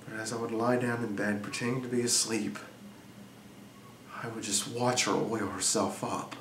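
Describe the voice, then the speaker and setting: A man's voice: a little high, unhurried, sharp tone, distant mic, pitched above the performer's own voice. A man acting a jealous, obsessive, volatile husband in a profane, emphatic, brooding stage monologue. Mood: hushed, haunted. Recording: echoey room with faint noise.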